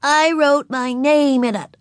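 A woman voicing a stubborn, bratty little girl in a storybook, with defiant, drawn-out, emphatic delivery. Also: high-pitched, dry recording, studio quality, pitched above the performer's own voice.

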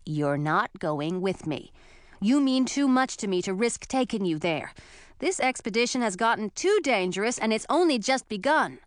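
A woman voicing an earnest, protective young man in a fantasy audiobook, speaking in a grave, firm and measured way. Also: medium pitch, sing-song, no room sound, studio quality, lightly acted.

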